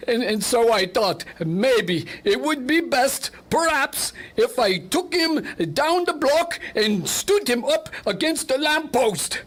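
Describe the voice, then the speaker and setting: A woman acting a high, quavering, frightened man. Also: expressive, slow pace, few pauses, exaggerated voice. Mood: scared, apologetic. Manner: quavering, hesitant, stammering. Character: frightened, whimpering men confessing to a detective. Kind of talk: radio play crime story acting.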